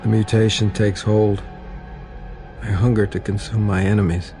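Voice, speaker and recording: A man's voice: fairly low pitch, level tone, normal speed, over music, lightly acted. An actor playing a grim, weary infected soldier in a video game, narrating his thoughts in a brooding, understated, resolute way. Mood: dark, resigned. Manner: brooding, understated inner monologue.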